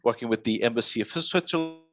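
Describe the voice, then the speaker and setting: A man's voice: medium pitch, fast talker, faint music behind. A man moderating an interview, introducing the guest and asking questions with many uhs and you-knows. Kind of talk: online panel moderation.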